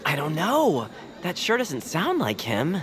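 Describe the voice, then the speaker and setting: A level-headed bird friend. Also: female voice, low-pitched, huge pitch swings, measured pace, a faint crowd, full character voice. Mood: encouraging. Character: practical, patient bird friend who owns the house. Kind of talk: audio drama acting.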